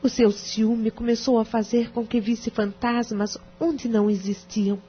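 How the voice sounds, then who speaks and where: A woman's voice: fairly high pitch, level tone, a little slow, a little hiss or hum, lightly acted. A Brazilian woman playing a distressed, sincere wife in an audio drama, speaking formally and earnestly. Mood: earnest, pleading. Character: distressed, sincere wife pleading her case to a lawyer. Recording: dull, muffled radio drama recording.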